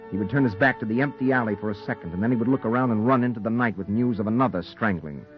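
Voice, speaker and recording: A man acting as a hard-boiled, guilt-ridden reporter in a noir radio thriller, his voice on the low side. He speaks with brooding intensity over a muffled vintage recording with music behind. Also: rapid-fire, natural voice. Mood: grim narration. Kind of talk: 1940s radio drama acting and noir narration.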